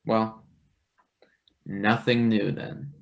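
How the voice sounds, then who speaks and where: A man's voice: lowish voice, little pitch movement, drawn-out words, vocal fry, lo-fi sound, heavily acted. A man playing an eager, hesitant young male intern with dry wit in a stage play table read. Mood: tentative, wry.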